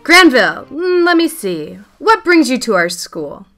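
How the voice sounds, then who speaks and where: A woman's voice: sits high, very animated, a little slow, faint music behind, full character voice. A woman playing a strict, impatient, mocking schoolteacher in a family radio play, with a haughty, scolding delivery. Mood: brisk, dismissive. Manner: theatrical scolding, haughty and sharp.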